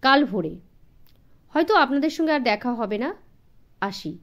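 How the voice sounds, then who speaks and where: A woman voicing a polite, busy gentleman in an audiobook, with a conversational manner mixed with English phrases. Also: on the high side, very animated, normal speed, lo-fi sound. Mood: brisk, courteous. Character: polite, busy gentleman, surprised and amiable businessman.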